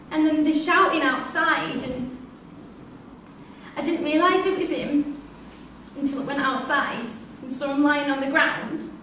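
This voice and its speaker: An actress playing a grieving, bewildered wife, fragile and plaintive, in a staged reading of a play. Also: female voice, very high-pitched, fairly bright tone. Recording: distant mic in echoey room, noisy.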